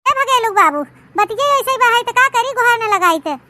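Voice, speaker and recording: A woman's voice: very high-pitched, expressive, normal speed, sharp tone, dry recording, clean studio sound, heavily acted. A woman voicing a pleading, anxious old village mother with an Awadhi accent in a cartoon comedy, in a plaintive, emotional manner. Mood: coaxing.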